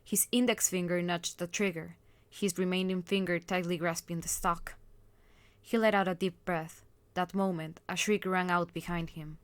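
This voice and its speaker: A woman's voice: on the low side, steady tone, normal speed, no echo. A woman reading a visual novel aloud as narrator, in a dramatic storytelling style. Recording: music loud behind the voice. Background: loud music.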